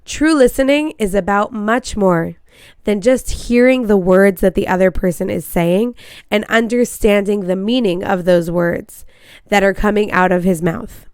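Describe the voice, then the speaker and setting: A woman hosting a coaching podcast, congested from a cold yet smooth and clear in tone. She speaks earnestly and encouragingly, a little apologetic. The sound is close and dry. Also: medium pitch, a little slow, a little mellow, no echo. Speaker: podcast host and marriage coach. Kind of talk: casual podcast monologue.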